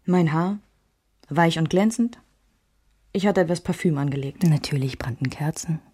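Radio drama narrator: candid, wistful woman, low mellow voice, intimate dry delivery, reflective. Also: moderate pace, breathy edge, no echo. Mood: tender, intimate. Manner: reflective, alternates German with English phrases. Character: woman recalling a love triangle, wistful and candid. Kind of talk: intimate first-person scripted radio narration.

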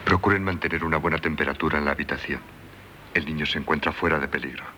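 An actor in a 1970s radio drama playing an authoritative, reassuring family doctor with a brisk manner. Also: male voice, a bass voice, steady tone, pushed and bright. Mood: reassuring, businesslike. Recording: noisy 1978 radio recording.

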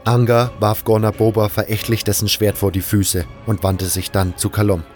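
A man's voice: on the low side, flat delivery, normal speed, a little mellow, faint music behind. A man reading scripted first-person narration for an audio drama.

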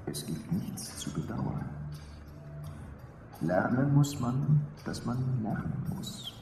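A man's voice: medium pitch, very animated, slow pace, gravelly, exaggerated voice. A man playing a solemn master who recites sententious maxims in a radio drama. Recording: distant, echoey, with music behind.

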